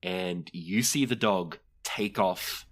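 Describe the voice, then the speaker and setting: A game master with a low-pitched voice narrates a tabletop roleplaying game. The delivery is conversational and easygoing, moving between scene description and rulings for the players. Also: slow pace.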